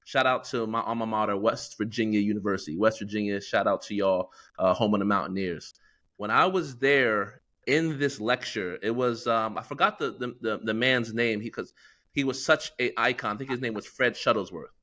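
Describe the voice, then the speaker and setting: A man's voice: lowish voice, normal speed, sharp tone. A middle-aged American man co-hosting a podcast, talking earnestly and reflectively with plenty of fillers. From United States. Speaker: podcast co-host. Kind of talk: casual podcast discussion.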